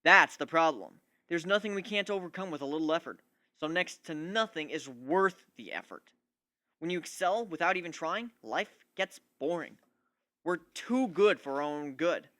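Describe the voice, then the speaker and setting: Man voicing a cocky, bright-voiced griffin schemer. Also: fairly high pitch, animated, normal speed, no echo. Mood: smug, confident. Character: cocky, scheming male griffin, secretly from Earth. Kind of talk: dramatic reading of fan fiction.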